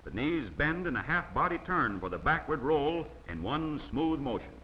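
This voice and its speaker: A man reading scripted instructional narration in a measured way, as the narrator of a training film. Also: a little high, big pitch swings. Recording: dull, noisy old 16mm film soundtrack.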